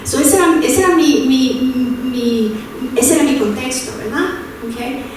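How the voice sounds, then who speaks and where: A woman presents a workshop in a loose, chatty way, full of fillers and tag questions. Her fairly high voice is caught from far off and rings around a very echoey room. Steady noise sits underneath. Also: measured pace. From El Salvador, raised in San Francisco. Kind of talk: informal academic workshop talk.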